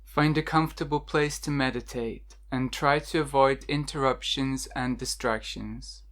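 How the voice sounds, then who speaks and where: A meditation guide narrating a scripted guided meditation in a measured, instructional way with deliberate pauses. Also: mid-range voice, steady tone, fairly bright tone.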